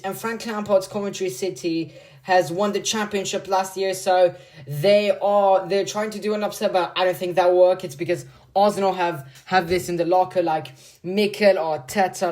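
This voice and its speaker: A man's voice: high-pitched, darkish tone. Rambling guy with a slight Hungarian accent. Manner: rambling, filler-heavy, says "like" and "bro" a lot. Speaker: football vlogger. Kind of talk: casual prediction vlog.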